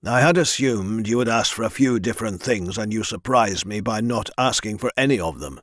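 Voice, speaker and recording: A man voicing a stern, powerful lord who speaks in a measured, formal way. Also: lowish voice, animated, no room sound, clean studio sound, natural voice.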